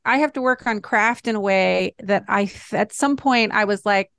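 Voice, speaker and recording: A woman screenwriter co-hosting a talk show, speaking about her own work in a reflective, halting way. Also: medium pitch, fairly bright tone, clean tone, dry recording, clean studio sound. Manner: self-reflective, halting, many restarts. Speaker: podcast co-host and screenwriter. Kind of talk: casual reflective chat.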